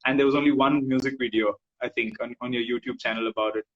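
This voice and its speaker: A man from India hosting an interview, relaxed and casual, with plenty of fillers and agreeing backchannels. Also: medium pitch, fast talker, highs cut off. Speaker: interview host.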